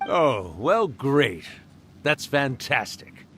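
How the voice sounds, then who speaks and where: A man playing a genial, world-weary ancient spirit in a video game, with an easygoing, expressive way of talking. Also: medium pitch, very slow, a little hiss or hum, exaggerated voice. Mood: fond, gentle.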